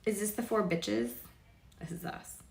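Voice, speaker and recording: A woman's voice: medium pitch, unhurried, creaky, a little mellow, slight background noise. A woman hosting a casual read-aloud stream, informal and sweary, stumbling over longer words and dropping in chatty asides. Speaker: host reading aloud to viewers.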